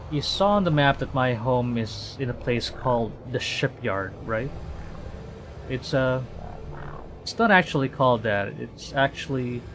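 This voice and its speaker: A man's voice: medium pitch, fairly bright tone, a little hiss or hum. A man from the Philippines streaming a game, reading narration aloud in a dramatic storytelling way and reacting to it.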